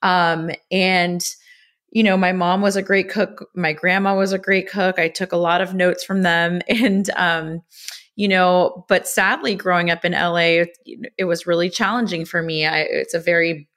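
A woman's voice: on the low side, steady tone, moderate pace, fairly bright tone. A woman in her thirties from Los Angeles, a cookbook author and food blogger talking candidly as a guest in a podcast interview. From Los Angeles, USA. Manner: candid, open, chatty with small restarts.

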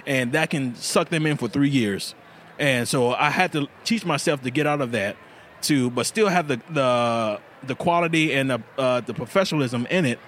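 A man in a casual festival interview explains how he makes his knives, earnest and a little halting, with frequent fillers. His pitch sits mid-range. Faint outdoor ambience hums behind him. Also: fairly bright tone, a little hiss or hum. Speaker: knife maker.

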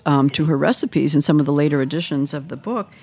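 Female podcast host, low-pitched voice, casual conversational interviewing. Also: fast talker, darkish tone, husky.

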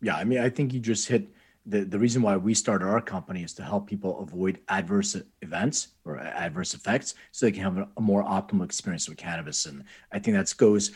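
A man's voice: deep voice, fairly quick, slightly rough. A man co-hosting a podcast, talking casually and jokingly, acting out little quotes as he goes. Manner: jokey, laughs often, animated retellings. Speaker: podcast co-host.

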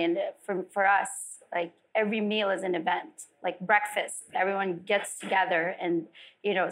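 A woman from the Philippines speaks on a live panel in a low, gravelly voice. Her talk rambles along with many fillers. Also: rough recording. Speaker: executive chef. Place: event venue with audience.

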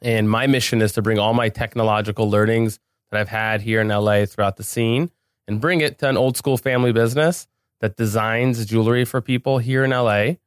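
A man's voice: lowish voice, clean tone, no echo. A man speaking casually as an interview guest on a podcast, recorded in a studio.

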